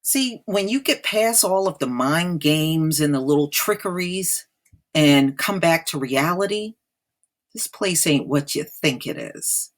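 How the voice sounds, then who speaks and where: Slow, blunt American woman commentator. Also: low voice, animated. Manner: emphatic, repeating phrases for effect; blunt, disapproving. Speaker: online commentator. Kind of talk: informal opinion commentary.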